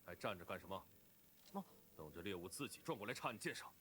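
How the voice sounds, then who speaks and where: A stern cultivation clan leader in an animated fantasy drama. His lowish voice is imperious and curt, dripping with scorn, over audible background ambience. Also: quick pace, a little hiss or hum. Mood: stern, impatient.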